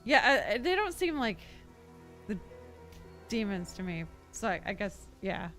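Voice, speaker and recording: A woman streaming a game, chatting casually with her viewers in a rambling, expressive way. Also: medium pitch, measured pace, faint music behind.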